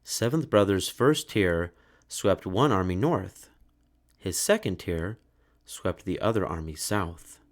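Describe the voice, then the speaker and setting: A man reading a children's story aloud in a measured, expressive way. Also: low voice. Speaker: storybook narrator.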